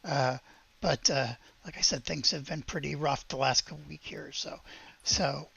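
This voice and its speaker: A man voicing a worried, weary woman who runs an orphanage, speaking in a hesitant, halting, subdued way. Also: fairly high pitch, steady tone, gravelly, fairly bright tone, slightly rough, no room sound, dull audio, full character voice.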